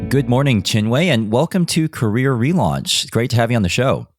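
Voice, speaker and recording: A man hosting a podcast, introducing and questioning his guest in a measured, friendly way. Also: mid-range voice, animated, music in the background. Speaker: podcast host.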